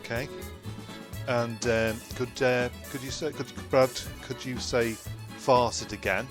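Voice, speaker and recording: A man in a comedy podcast chatting in a hesitant, halting, polite and deadpan way. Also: mid-range voice, over music. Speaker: comedy podcast cast member.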